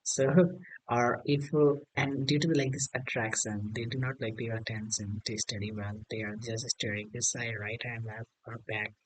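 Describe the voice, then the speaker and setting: A man's voice: medium pitch, flat delivery, moderate pace, rough recording. A man with an Indian accent practicing English in a casual video call, hesitant and full of "like" fillers. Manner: hesitant, many "like" fillers, laughs easily.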